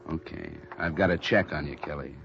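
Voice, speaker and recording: A man's voice: very deep voice, steady tone, a little fast, vocal fry. A man playing a stern, world-weary insurance investigator with an American accent and clipped, pointed delivery, in a 1950s radio detective drama. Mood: suspicious. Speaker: lead actor and narrator. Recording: muffled, hissy vintage radio recording.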